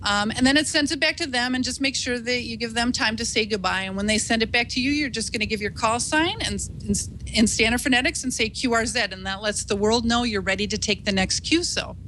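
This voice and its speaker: Woman, bright tone, reassuring instructor, conversational delivery, faint rumbling background noise. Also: fairly quick, low-quality recording. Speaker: instructor, ham radio operator. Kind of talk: informal instructional talk.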